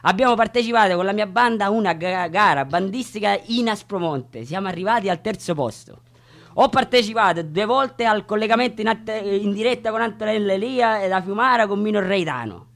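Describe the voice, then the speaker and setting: High-pitched man telling his story. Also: animated, fairly quick, creaky, a bit bright. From Reggio Calabria, Italy. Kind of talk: autobiographical monologue, read aloud.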